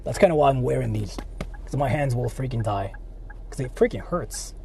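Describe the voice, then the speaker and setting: A man's voice: medium pitch, lively intonation, normal speed, creaky, mellow. A man from Japan, chatting casually on a livestream in blunt, repetitive slang with some swearing. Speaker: livestreamer, channel host. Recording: outdoor street noise.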